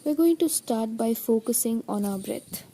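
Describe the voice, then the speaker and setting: A woman guiding a meditation in a soothing, gentle, deliberate way, with a soft, breathy voice that trails toward a whisper. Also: on the high side, expressive. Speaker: meditation guide. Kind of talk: guided meditation narration.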